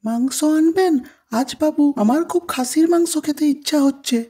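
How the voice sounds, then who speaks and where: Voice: high voice, moderate pace, mellow, lightly acted. A voice actor playing an eager, simple household servant in a cartoon. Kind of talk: Bengali cartoon voice acting.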